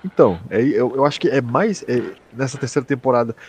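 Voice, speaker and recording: A Brazilian man with a mid-pitched voice, co-hosting a podcast and chatting casually as he theorizes. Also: animated, brisk, darkish tone, a little hiss or hum. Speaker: podcast co-host. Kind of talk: casual chat, theorizing about a series.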